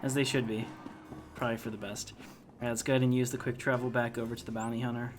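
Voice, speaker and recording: A man's voice: medium pitch, level tone, quick pace. A middle-aged man giving casual, jokey gameplay commentary as he talks along to a game. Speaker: game streamer. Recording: game music behind voice.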